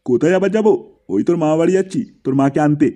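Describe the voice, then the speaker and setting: A man's voice: on the high side, fast, warm tone, close to an everyday voice. A man voicing a sweet-talking, evasive middle-aged father in a comedy cartoon.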